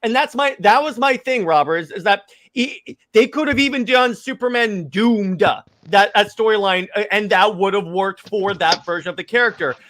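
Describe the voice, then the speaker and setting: Guy with a high bright voice, keeps stumbling over words while ranting about comic movies. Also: moderate pace. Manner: stammering, animated restarts. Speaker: podcast co-host. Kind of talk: casual chat.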